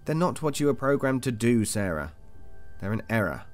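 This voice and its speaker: An actor playing a cold, detached interrogator in an audio drama, formal, controlled and insistent. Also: male voice, mid-range voice, sing-song, moderate pace, lightly acted. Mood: clinical, explaining. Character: cold, clinical male interrogator of a captive. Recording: music under the voice.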